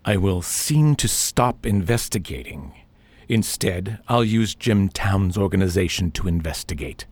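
A man plays a composed criminal schemer in a radio drama. His voice is low and slightly rough, recorded dry, and he lays out his plans in measured words. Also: animated, a little mellow, no echo, heavily acted. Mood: calculating, firm. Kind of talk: science fiction radio drama acting.

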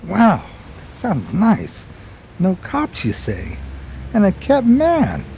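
A man's voice: high-pitched, wildly swooping pitch, slow pace, vocal fry, warm tone, a little hiss or hum, full character voice, poor audio. A man playing a broke, eager 1920s New York street drifter in a radio play, talking in hesitant slang. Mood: puzzled. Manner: slangy, hesitant, colloquial storytelling. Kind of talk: radio play acting and first-person narration.

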